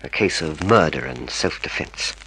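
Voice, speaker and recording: A man's voice: extremely low pitch, lively intonation, normal speed, sharp tone, a little hiss or hum, heavily acted, poor audio. A man acting in a radio drama as a cold, scheming murderer, methodical and commanding. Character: cold, calculating murderous male dentist, secret lover, scheming.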